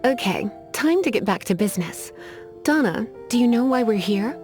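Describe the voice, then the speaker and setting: A woman's voice: on the high side, expressive, normal speed, lightly acted. A woman playing a sultry teasing librarian mage. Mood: scolding. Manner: languid, teasing, playfully menacing. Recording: game cutscene with faint music behind.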